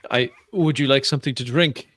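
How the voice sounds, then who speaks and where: A man's voice: medium pitch, a bit bright, dry recording, pitched above the performer's own voice. A man playing a genial French professor from Paris in a tabletop game, with a French accent and a gracious, formal manner.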